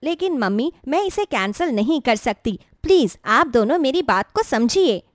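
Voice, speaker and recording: A woman's voice: sits high, sing-song, moderate pace, no echo, clean studio sound. A woman voicing a vain, rude young college girl, a snappish and scornful younger sister in a story. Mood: pleading. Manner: snappish, whiny, haughty.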